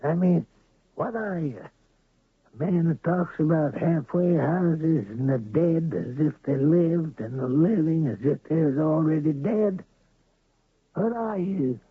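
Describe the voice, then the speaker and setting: A gruff down-on-his-luck rancher, slow, raspy, dry tinny radio sound. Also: on the high side, no room sound. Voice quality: gravelly, rural speech. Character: worn-out male rancher, unlucky gambler, gruff. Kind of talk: 1970s radio drama acting. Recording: lo-fi phone-band dry old radio recording.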